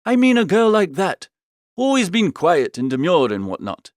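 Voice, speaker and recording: A man voicing an amiable, flustered upper-class young gentleman, chattily narrating a comic audiobook in old-fashioned slang. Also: high-pitched, huge pitch swings, normal speed, dry recording, studio quality.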